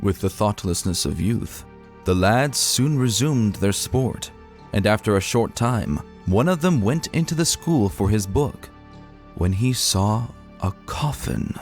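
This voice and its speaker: Deep-voiced man narrating with lively intonation. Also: takes it slowly, faint music behind. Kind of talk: scripted narration of an old ghost account.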